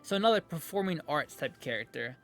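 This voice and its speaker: A man's voice: a little high, animated, a little fast, soft background music. A man hosting a video in a casual, rambling, informal reaction commentary style. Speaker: video host commenting on game voice lines.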